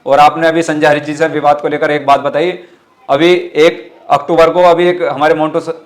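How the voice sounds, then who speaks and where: A man's voice: a little high, little pitch movement, normal speed. A man with a western Uttar Pradesh accent answering interview questions as a party activist, earnest and emphatic, often repeating his phrases. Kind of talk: interview answers with political rhetoric.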